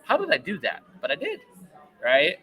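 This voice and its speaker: A man's voice: a little high, wildly swooping pitch, moderate pace, pushed and bright. A man hosting a casual, enthusiastic interview, rambling and repeating phrases for emphasis. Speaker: podcast host interviewing a guest.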